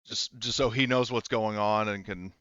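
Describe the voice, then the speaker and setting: Smooth, low-voiced man running a game. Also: steady tone, normal speed, a bit bright, dry recording, dull audio. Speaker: game master. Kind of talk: casual tabletop game refereeing.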